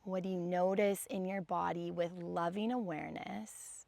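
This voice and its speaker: A young woman with a raspy voice, teaching a guided yoga class in a calm, gentle way. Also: on the low side, normal speed, no room sound. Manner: calm, gentle guidance with soft sighs.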